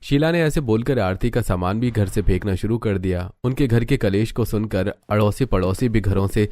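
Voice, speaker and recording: A man's voice: on the low side, moderate pace, mellow, no room sound. A man giving scripted, dramatic narration for a cartoon story, as its narrator.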